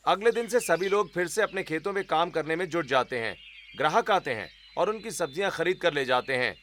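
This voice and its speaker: High, bright-voiced male story narrator. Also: animated, fairly quick, a little hiss or hum.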